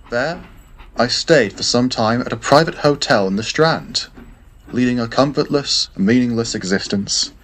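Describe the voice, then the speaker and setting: Man giving a measured, formal literary narration. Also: fairly low pitch, fairly bright tone, slight background noise. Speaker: audiobook narrator. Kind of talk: scripted first-person narration of a classic novel.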